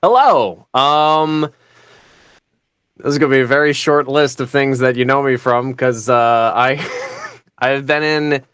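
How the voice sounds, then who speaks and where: A young man, a voice actor, introducing himself modestly and haltingly in casual chat. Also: mid-range voice, fairly even intonation, a bit bright, clear, resonant tone, dry recording, studio quality. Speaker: voice actor, panel guest. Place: indoor room.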